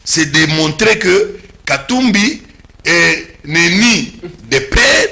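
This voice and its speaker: A Congolese French-accented man with a bright, forceful voice, pitched a little high for a man. He lays out his legal reasoning step by step in a measured, explanatory way on a talk show, mixing in Lingala phrases. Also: lively intonation, vocal fry. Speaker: political commentator. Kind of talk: studio political discussion.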